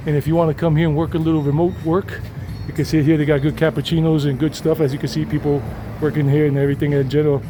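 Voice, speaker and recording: A man's voice: fairly high pitch, level tone, fast talker. Chatty man walking a busy street. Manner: chatty and rambling, switching into Spanish with locals. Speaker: walking tour vlogger. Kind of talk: casual walking tour narration. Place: city street outdoors. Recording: outdoor street noise. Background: traffic and street noise.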